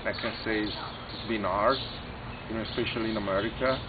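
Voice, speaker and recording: A middle-aged Italian restaurant owner with an Italian accent, talking in a halting way, full of fillers and "you know". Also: male voice, on the low side, steady tone. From Italy. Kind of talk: casual interview. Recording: tinny phone-like audio with clear background noise.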